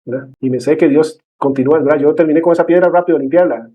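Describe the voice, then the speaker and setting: A man's voice: a little high, quick pace, phone-quality sound. A man teaching a discipleship class in a conversational way, with many fillers and tag questions. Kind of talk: informal church class lecture.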